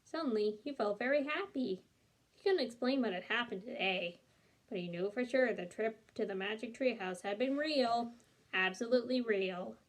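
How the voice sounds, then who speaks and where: A woman reading a children's story aloud as a storyteller, in an animated way that acts out the dialogue. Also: a little high, moderate pace, rough recording. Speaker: read-along storyteller.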